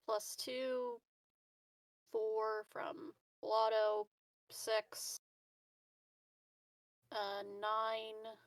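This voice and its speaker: A woman's voice: on the high side, level tone, very slow, pauses often, no echo. A woman chatting casually as a player in a tabletop game, hesitant and thinking aloud.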